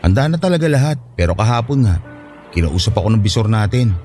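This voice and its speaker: A man's voice: lowish voice, animated, fast, warm tone, faint music behind. A man playing a Filipino ship worker who misses his family, speaking sincerely and emotively in an audio drama. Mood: dejected. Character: downhearted, grateful male Filipino ship worker missing family.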